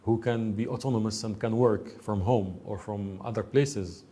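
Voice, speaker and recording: A man's voice: on the low side, steady tone, moderate pace, mellow. Moroccan-accented man, earnest and insistent. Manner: earnest, insistent, restarts often. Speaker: guest. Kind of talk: studio interview.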